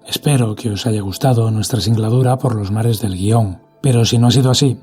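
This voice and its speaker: A man's voice: lowish voice, a little fast, dark tone, husky, soft background music. A man hosting a podcast, closing an interview and the show in a friendly way. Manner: friendly, brief affirmations. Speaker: podcast host.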